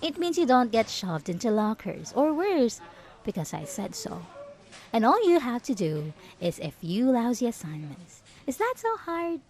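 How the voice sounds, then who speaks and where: A woman's voice: on the high side, sing-song, unhurried, a little mellow. A woman acting out a possessive, jealous schoolgirl bully in a roleplay audio drama, taunting and sarcastic with sugary false politeness. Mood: bossy, smug.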